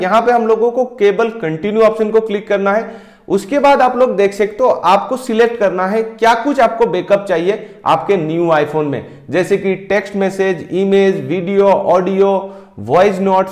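A man in his thirties walking viewers through a software tutorial step by step, speaking directly to them. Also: high voice, moderate pace, roomy sound. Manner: steady step-by-step explaining, addresses viewers directly. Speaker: tech tutorial host. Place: indoor room, screen-recording overlay.

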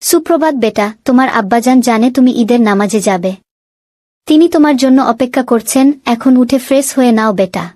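A woman's voice: on the high side, fairly quick, darkish tone, no room sound, studio quality, close to an everyday voice. A woman voicing a cheerful, affectionate mother in a children's cartoon.

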